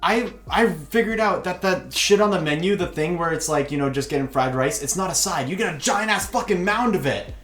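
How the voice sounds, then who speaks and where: Echoey young podcast host. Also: male voice, sits high, fairly quick, hardly any pauses, faint music behind. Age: 20s. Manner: swearing, excitable, laughs often. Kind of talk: casual chat. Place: large empty echoey room. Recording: echoey empty room.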